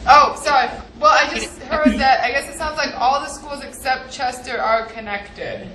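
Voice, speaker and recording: A woman handling practical setup details in a brisk, businesslike way. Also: high voice, recorded from a distance. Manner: brisk, practical logistics talk. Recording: echoey room with hiss.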